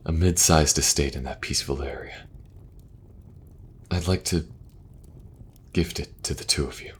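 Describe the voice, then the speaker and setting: A man playing an earnest young king who speaks gently and falters a little when things get awkward. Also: extremely low pitch, measured pace, breathy edge, full character voice. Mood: warm. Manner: gentle, soft-spoken, faltering at awkward moments. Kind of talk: audio roleplay acting.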